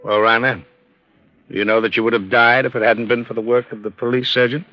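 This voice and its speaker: An actor playing a police lieutenant in a 1950s radio drama, brisk, official and authoritative. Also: male voice, mid-range voice, fairly even intonation, faint music behind, natural voice. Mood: matter-of-fact. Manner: official, matter-of-fact, authoritative. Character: brisk, businesslike male police lieutenant in charge. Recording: dull, noisy old radio recording.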